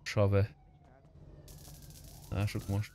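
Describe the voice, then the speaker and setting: A man's voice: fairly low pitch, monotone, darkish tone. A man streaming a video game, talking casually to his viewers and fellow players. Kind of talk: casual gameplay stream chat. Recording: voice over faint background noise.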